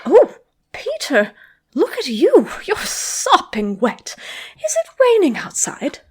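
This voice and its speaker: A woman's voice: high-pitched, wildly swooping pitch, slow pace, exaggerated voice. A woman playing a doting elderly aunt in a comic dub, fussing and chiding with affection. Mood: fond chiding. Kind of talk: dramatic comic dub acting.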